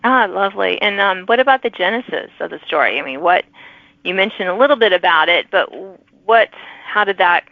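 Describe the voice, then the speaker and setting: A woman's voice: mid-range voice, animated, brisk, no echo. A woman hosting a podcast, interviewing in a conversational, chatty and encouraging way. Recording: narrow, phone-like sound.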